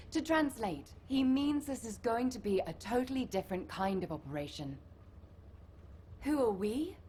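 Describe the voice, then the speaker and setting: A woman's voice: mid-range voice, a little mellow, slight background noise, close to an everyday voice. A woman playing a breezy, teasing recon operative in a video game, chatting informally as she briefs a team. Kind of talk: video game mission dialogue acting.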